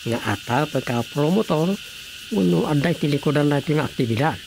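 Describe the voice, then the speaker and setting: A dutiful village investigator, firm and businesslike, with an Ilocano accent. Her voice is on the low side, in radio drama style, with slight noise behind it. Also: animated, fairly quick, vocal fry.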